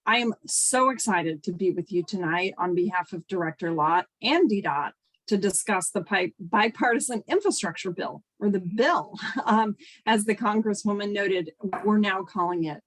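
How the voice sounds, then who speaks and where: A woman's voice: mid-range voice, rough recording. A woman official delivering prepared remarks over a video call. She sounds a little sniffly from a cold. Her tone is eager and upbeat, with frequent uh and um fillers. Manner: earnest, upbeat, fills pauses with uh and um. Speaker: transportation agency official. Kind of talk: prepared remarks at a virtual town hall.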